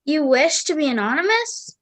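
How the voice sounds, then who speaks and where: A courteous, earnest charity collector played by a woman in a radio drama. Her high voice speaks with careful formality. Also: very animated, moderate pace. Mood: polite, earnest. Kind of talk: theatrical radio play acting.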